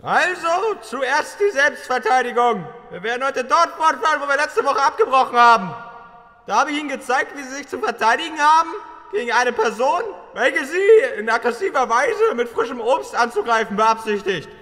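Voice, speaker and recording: High-pitched, manic bullying drill instructor. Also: male voice, lively intonation, moderate pace, pushed and bright, heavily acted. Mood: pompous lecturing. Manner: barking, pompous, badgering. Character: manic bullying military self-defence instructor, pompous, easily enraged. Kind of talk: German fan dub of sketch comedy. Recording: long hall-like reverb.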